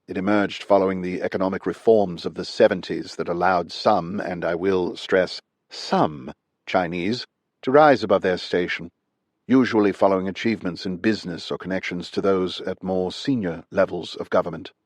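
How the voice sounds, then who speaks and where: A man voicing a polished, smooth-talking male intelligence agent in his mid-forties, measured and unhurried. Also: deep voice, no room sound.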